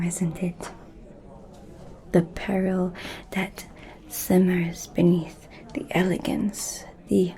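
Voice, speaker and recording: Woman acting as an ancient vampire lady, asmr roleplay, breathy mellow whisper, super slow n seductive, kinda menacing. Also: lowish voice. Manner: slow, deliberate, pausing, intimate.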